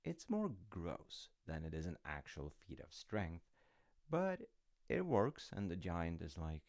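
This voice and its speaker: Very deep, gravelly, slow-talking dad played by a man. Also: huge pitch swings, no room sound, studio quality, exaggerated voice. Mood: wry storytelling. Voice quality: low, gravelly. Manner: unhurried, musing, gently wry. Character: easygoing older dad telling his child a folktale. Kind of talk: intimate roleplay storytelling with casual rambling asides.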